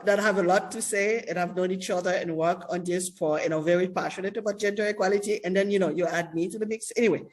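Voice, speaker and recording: A woman from Haiti moderating a panel conversation, speaking deliberately and with emphasis. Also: on the low side.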